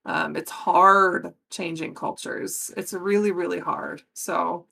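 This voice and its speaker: A woman's voice: fairly low pitch, steady tone, takes it slowly, gravelly, bright, forceful tone, husky. An American woman in her forties speaking as a podcast guest in casual conversation, earnest and emphatic. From United States. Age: 40s.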